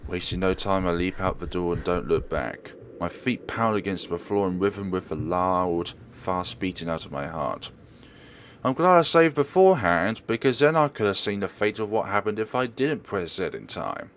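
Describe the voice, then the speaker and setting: A man's voice: deep voice, animated, moderate pace, a little hiss or hum. A man narrating a horror game as a let's player, reading the script aloud theatrically and adding his own commentary.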